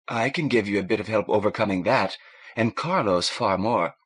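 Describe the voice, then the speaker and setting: A man voicing a warm, frank Irish woman, a ship's doctor, in a lilting, coaxing manner for an audiobook. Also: lowish voice, normal speed, breathy edge.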